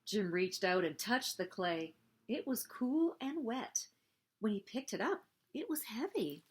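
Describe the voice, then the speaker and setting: Female children's storyteller, high-pitched and very expressive, slightly husky voice, picture-book narration. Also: measured pace. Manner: animated, addressing young children. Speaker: story-time host reading a picture book.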